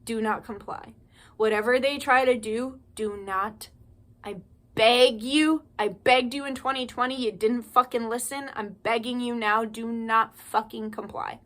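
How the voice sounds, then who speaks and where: A woman's voice: a little high, steady tone, measured pace, a bit bright. A young woman delivering a heated, profanity-laced monologue straight to her viewers, ranting and pleading. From United States. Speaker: spiritual channel host. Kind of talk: impassioned monologue to camera.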